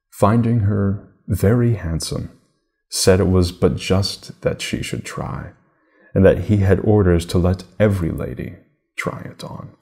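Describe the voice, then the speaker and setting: A man in his thirties reading a fairy tale aloud as storybook narration, in a measured, deliberate way with formal diction. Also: low voice, a little mellow, slightly rough.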